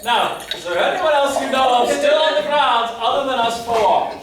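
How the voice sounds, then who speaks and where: A man's voice: extremely high pitch, animated, normal speed. A man playing a melodramatic, accusatory police inspector in a staged murder-mystery reading. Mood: commanding. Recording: echoey hall, distant mic, faint noise.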